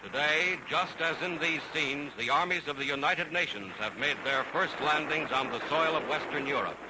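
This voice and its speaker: A man's voice: a little high, normal speed, very noisy. A man narrating a 1940s newsreel in a dramatic, solemn, declamatory manner, on an archival soundtrack. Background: loud orchestral music.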